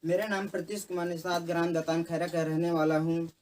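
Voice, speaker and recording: A man in his twenties introducing himself haltingly, correcting himself as he goes. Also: a little high, little pitch movement, darkish tone, slight background noise. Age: 20s. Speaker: rescued migrant worker. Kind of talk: self-introduction to camera. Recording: voice from a distance.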